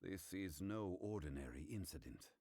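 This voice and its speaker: Wise, composed game gentleman: low voice, formal phrasing with expressive swing, some background noise. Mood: grave, cautious. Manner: formal, measured, grave phrasing. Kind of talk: scripted video game dialogue.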